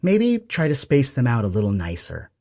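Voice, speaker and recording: A man's voice: mid-range voice, wildly swooping pitch, normal speed, a little mellow, pitched above the performer's own voice. A man voicing a prim, passive-aggressive middle-aged mother in an audiobook. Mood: fussy. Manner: prim, reproachful.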